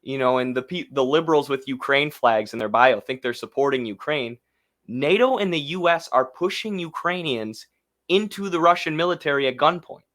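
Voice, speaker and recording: A man's voice: mid-range voice, animated, moderate pace, a bit bright. A man giving casual, indignant and sarcastic political commentary as the host of a livestream. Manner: indignant, sarcastic, mocks others in put-on voices.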